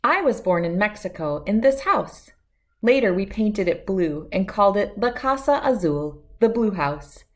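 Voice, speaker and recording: A woman's voice: medium pitch, expressive, measured pace. A woman reading a children's picture book aloud as host, telling it expressively in the first person. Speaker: storybook read-aloud host.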